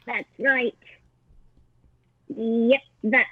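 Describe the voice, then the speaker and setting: A woman playing an earnest, confused eternal sheep in improvised comedy, talking haltingly over a video call. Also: a little high, fairly even intonation, breathy edge, no echo, heavily acted. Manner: halting, stammers. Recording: tinny video call audio.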